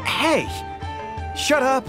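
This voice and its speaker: An actor playing an excitable, defensive mercenary telling boastful tales in a video game, gushing and emphatic. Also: male voice, extremely high pitch, extremely slow, over music, exaggerated voice.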